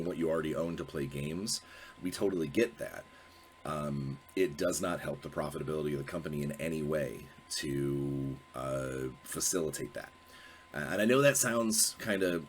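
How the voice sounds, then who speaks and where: Deep-voiced man with a stuffy cold. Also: a little hiss or hum. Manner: rambling, self-correcting, many fillers. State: congested, sniffly from a cold. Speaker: host. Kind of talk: casual solo video talk.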